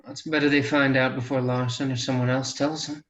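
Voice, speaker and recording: A man's voice: medium pitch, level tone, brisk, a bit bright, muffled recording, natural voice. A man playing an earnest, reserved traveller in a western table read, speaking plainly. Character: earnest, polite, reserved traveller riding with a posse.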